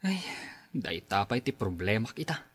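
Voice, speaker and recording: A man's voice: lowish voice, very animated, normal speed, husky, dry recording. Man playing an earnest, worried adult son. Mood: troubled. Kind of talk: Ilocano radio drama acting.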